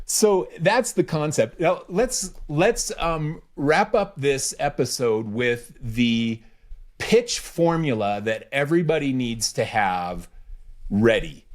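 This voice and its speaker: A man's voice: medium pitch, animated, takes it slowly. A man hosting a podcast as a business coach, talking in a casual, instructive way and repeating key phrases for emphasis.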